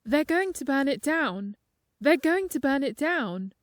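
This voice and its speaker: A woman voicing a terrified, paranoid man who urgently shouts and repeats his warnings in an audiobook story. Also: high voice, big pitch swings, a little slow, no echo, clean studio sound, voice pushed up. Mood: panicked, pleading.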